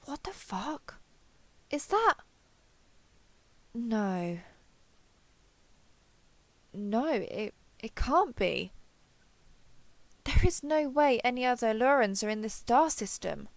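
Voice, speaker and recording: Gritty alien starship captain, played by a woman, creaky voice. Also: on the high side, dry recording, exaggerated voice. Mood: stunned. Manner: wry, gritty, self-talking, mildly profane. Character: tough, cocky female alien explorer and starship captain. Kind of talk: audio drama monologue as a captain's log.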